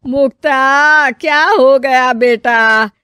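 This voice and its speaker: An affectionate elderly mother-in-law played by a woman in a cartoon family story. Her high voice is fussy and homely, full of exclamations. The sound is close and dry. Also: extremely slow, pushed and bright, clean tone, no room sound, exaggerated voice. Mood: fussing.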